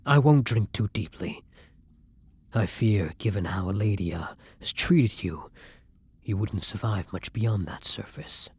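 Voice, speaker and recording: A man playing a kind, tormented vampire in an intimate roleplay audio drama, murmuring softly and breathily in formal, old-fashioned phrasing. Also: fairly low pitch, expressive, measured pace, slightly rough, heavily acted. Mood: tender, worried. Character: kind, tormented male vampire, tender and protective lover.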